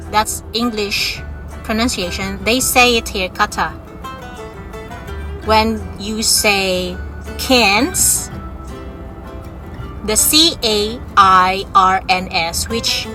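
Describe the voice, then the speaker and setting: Chatty Filipina vlogger over music. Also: female voice, medium pitch. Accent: Filipino, with some Australian. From Philippines. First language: Ilonggo. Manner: chatty, laughs often, drawn-out words. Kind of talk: casual vlog monologue. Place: home in Australia. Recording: music playing behind.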